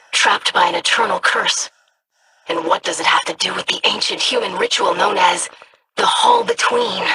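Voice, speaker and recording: A performer voicing an earnest, studious scribe in a video game, speaking in a measured, scholarly manner. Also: medium pitch, gravelly, bright, forceful tone, a little hiss or hum, heavily acted, low-quality recording. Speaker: scribe investigating monsters.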